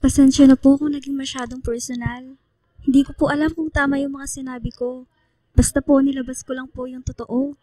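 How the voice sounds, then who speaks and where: A woman's voice: high voice, little pitch movement, a little fast, no echo, natural voice. An actress playing a shy, earnest teenage schoolgirl in a radio drama, speaking politely and hesitantly. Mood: apologetic, sincere. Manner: hesitant, polite, softly apologetic.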